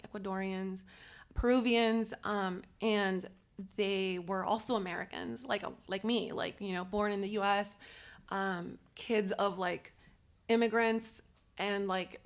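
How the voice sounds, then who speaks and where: Gravelly young woman, halting speech. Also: mid-range voice. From Los Angeles. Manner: hesitant, many fillers and restarts. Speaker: panelist. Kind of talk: casual panel discussion.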